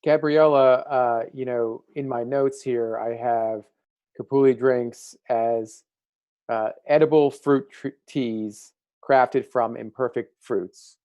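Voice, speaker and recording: A man's voice: medium pitch, steady tone, slow, a little mellow, smooth, no echo, lo-fi sound. An American man in his thirties hosting a casual livestream interview over a video call. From United States. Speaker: livestream host. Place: indoor room. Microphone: earbud headset on video call.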